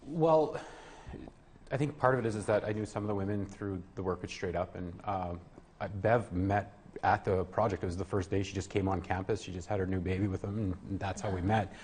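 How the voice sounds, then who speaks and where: A man's voice: deep voice, steady tone, a little fast, darkish tone, slight background noise. A man answering interview questions earnestly, often restarting his sentences. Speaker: guest, program worker.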